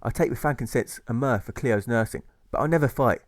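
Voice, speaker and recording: A man's voice: medium pitch, fairly quick, dry recording, studio quality, lightly acted. A man voicing an earnest, principled young son with a nervous, stammering way of talking in an audiobook. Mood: stressed, firm. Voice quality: stammering on consonants.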